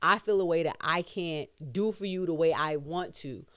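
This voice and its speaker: A woman's voice: lowish voice, moderate pace, clear, resonant tone, no room sound. American woman, 30s, podcast co-host. Emphatic, slangy, profane, casual chat, close dry sound.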